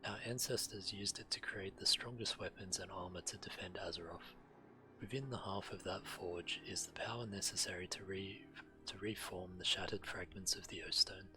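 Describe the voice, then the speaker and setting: A man's voice: low-pitched, steady tone, normal speed, bright, forceful tone, low-quality recording. A man streaming a game, reading quest text aloud and adding casual commentary. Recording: game music behind the voice. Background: game music.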